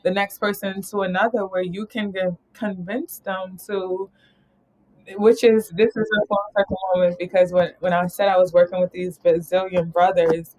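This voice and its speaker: A woman's voice: lowish voice, flat delivery, normal speed, a little mellow, poor audio. A woman from New York talking casually as an interview guest, rambling and laughing often. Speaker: guest, travel company founder.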